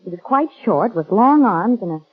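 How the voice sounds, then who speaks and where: Wisecracking young American society woman, 1930s radio style, very expressive husky voice, thin vintage recording. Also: mid-range voice, moderate pace, narrow, tinny audio. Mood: shaken but wry. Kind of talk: 1930s radio drama acting.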